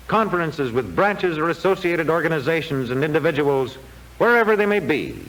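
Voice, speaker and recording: Man, high voice, very varied pitch, formal measured narration, old 1940s film sound. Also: a bit bright, poor audio. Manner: formal, measured, declamatory delivery.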